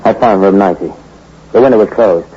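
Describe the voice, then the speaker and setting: A man's voice: fairly low pitch, fairly quick, dark tone, slight background noise. A man narrating and acting the lead in a 1940s noir radio drama, speaking in a hard-boiled, clipped, sardonic way. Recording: muffled old radio recording with music.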